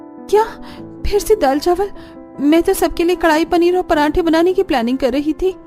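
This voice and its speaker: A woman's voice: very high voice, normal speed, warm tone, soft background music, voice pushed up. A woman voicing an exasperated young daughter-in-law who grumbles and complains in a cartoon story. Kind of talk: Hindi cartoon story acting.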